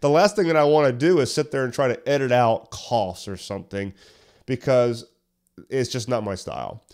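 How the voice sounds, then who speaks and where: A man hosting a solo podcast tutorial, talking conversationally and a little hoarse while getting over a cough, walking listeners through tips step by step. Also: medium pitch, moderate pace, darkish tone. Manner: conversational, explains step by step with questions. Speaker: podcast host. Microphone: studio podcast mic.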